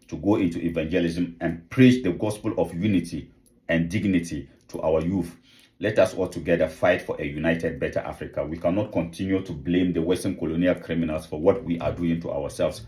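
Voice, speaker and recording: A man's voice: low voice, darkish tone. A man giving an impassioned rallying speech to camera, full of repeated rhetorical questions and calls to rise up. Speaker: activist channel host.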